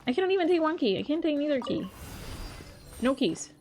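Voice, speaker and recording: A woman's voice: high voice, very animated, brisk. A young woman giving casual, chatty, slangy reaction commentary as she plays a video game. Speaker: gaming streamer. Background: game theme music.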